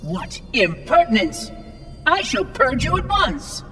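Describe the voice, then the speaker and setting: A man's voice: extremely high pitch, sing-song, slow pace, pushed and bright, far from the mic, faint music behind, exaggerated voice. A man voicing a fussy, officious ancient floating machine caretaker in a video game, prim, formal and theatrical, with precise enunciation. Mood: indignant. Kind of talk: video game cutscene acting.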